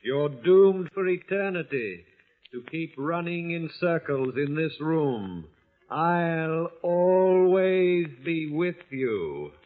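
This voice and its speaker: A solemn, vengeful freedom fighter with quiet menace. His voice sits fairly high for a man. The delivery is measured 1940s radio horror, heard through a tinny old broadcast. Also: very animated. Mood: ominous. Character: vengeful resistance fighter posing as a hanged ghost.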